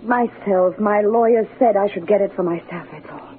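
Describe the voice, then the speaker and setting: An actress playing a nervous, pleading society wife in a 1940s radio drama, flustered and hesitant. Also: female voice, mid-range voice, normal speed, lightly acted. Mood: anxious, pleading. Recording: muffled, noisy 1940s radio broadcast.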